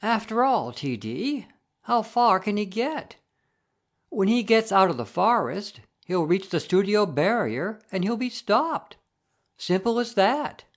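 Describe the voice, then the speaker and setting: A woman's voice: medium pitch, big pitch swings, slow, heavily acted. A woman playing a hesitant studio underling, mild and deferential, placating the boss in a dramatized story. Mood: reassuring.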